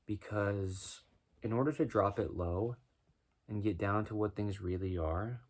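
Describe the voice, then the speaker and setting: Man, deep low voice, rhythmic rhyming freestyle, preachy musing delivery. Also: a little slow. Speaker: freestyle musician. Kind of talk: spoken-word freestyle over guitar.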